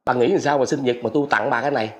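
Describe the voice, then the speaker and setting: A man's voice: medium pitch, fairly even intonation, rapid-fire, soft background music, natural voice. A man with a Southern Vietnamese accent playing a stingy, gullible old husband in a comedy sketch, exclaiming, stammering and repeating himself. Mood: sly, boastful. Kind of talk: broad comedy sketch acting.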